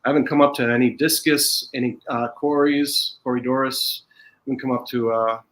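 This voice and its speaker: Guest, male, American accent, mid pitch, loose rambling delivery with many restarts. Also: steady tone, fairly bright tone, rough recording. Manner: rambling, halting, with frequent restarts. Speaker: podcast guest. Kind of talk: casual chat.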